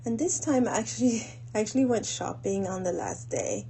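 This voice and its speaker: Woman, casual audio log, thinking aloud, unhurried halting delivery, soft-edged mellow tone, background noise. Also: mid-range voice, very animated, vocal fry. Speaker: host of a planning audio log for a women's group.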